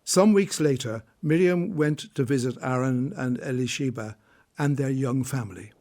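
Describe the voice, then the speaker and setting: A man reading scripted narration for a radio drama in a measured, solemn way. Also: mid-range voice. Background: soft music.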